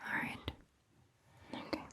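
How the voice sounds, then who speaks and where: Very slow whispering woman, ASMR vampire doctor. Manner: whispering, slow, gentle, with long pauses. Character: attentive, soothing female vampire doctor, centuries-old clan member. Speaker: ASMR roleplay host playing a doctor. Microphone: close ASMR microphone.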